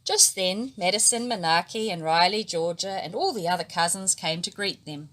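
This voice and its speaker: A woman reads a children's story aloud with a bright, clear tone. She tells it gently, raising her voice when the characters speak, in an unhurried storybook manner. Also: on the low side. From New Zealand. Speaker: storybook reader.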